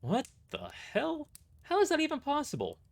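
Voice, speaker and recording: A man's voice: extremely high pitch, wildly swooping pitch, moderate pace, gravelly, voice pushed up, exaggerated voice. A man voicing a blunt, skeptical mercenary who fires off startled questions in an audio drama. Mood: incredulous, skeptical. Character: incredulous, questioning mercenary in a sci-fi fantasy drama.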